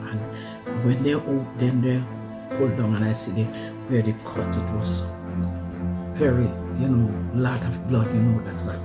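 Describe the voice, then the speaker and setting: An older woman from Jamaica with a Jamaican accent, giving a halting personal testimony as a mother. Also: rumbling low. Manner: halting, retells scenes with quoted speech. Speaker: mother of the speaker. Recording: loud music bed behind.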